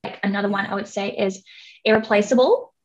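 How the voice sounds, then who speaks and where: A woman's voice: medium pitch, fairly even intonation, fairly quick, low-quality recording. Giggly young woman with Hong Kong accent. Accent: Hong Kong English. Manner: giggly, chatty, lots of "like". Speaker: podcast guest. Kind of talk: casual interview chat.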